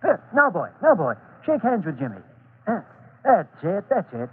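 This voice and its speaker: A man's voice: high voice, wildly swooping pitch, normal speed, breathy edge, exaggerated voice. An actor in an old radio serial playing a shifty but genial old antique dealer who stammers and fusses as he talks. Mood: genial, sly. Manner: hesitant, stammering, fussy. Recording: tinny vintage radio recording.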